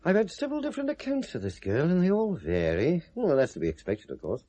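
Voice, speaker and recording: A British police detective in a vintage radio play. His voice is mellow and a little husky, and his pitch moves with life as he questions. He is patient, dry and quietly firm. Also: wildly swooping pitch, quick pace. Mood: patient, probing. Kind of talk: 1970s British radio drama acting. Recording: vintage radio drama recording.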